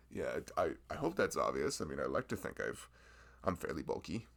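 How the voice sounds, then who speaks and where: A man's voice: rumbling low, vocal fry, fairly bright tone, exaggerated voice. A man voicing a friendly, nerdy young florist in an ASMR roleplay, rambling, laughing often and teasing himself.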